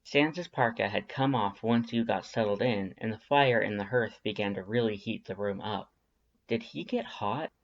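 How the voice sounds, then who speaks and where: A man's voice: lowish voice, normal speed, few pauses. A man reading scripted second-person fiction as an audiobook narrator. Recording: slightly dull lo-fi audio.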